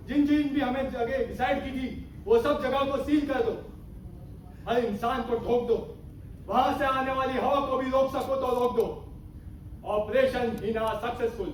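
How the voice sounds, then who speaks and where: A man's voice: very high voice, fairly even intonation, a little slow, long pauses. A young man playing a hot-headed, defiant army captain in an amateur stage play, declaiming his lines. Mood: urgent, excited. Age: young adult. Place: stage in a hall, distant microphone. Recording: echoey hall from far off, noisy.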